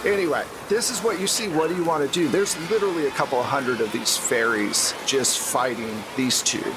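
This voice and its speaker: A man's voice: medium pitch, fairly quick, background noise. A man narrating a tabletop role-playing game as game master, in a conversational, descriptive storytelling manner. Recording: background music under the voice.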